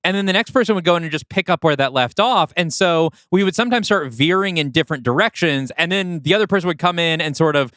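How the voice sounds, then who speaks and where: A man's voice: on the high side, animated, fast talker, hardly any pauses, fairly bright tone, no echo, clean studio sound. A man co-hosting a podcast, chatting casually about creative work. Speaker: podcast co-host. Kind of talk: casual chat about writing a movie script.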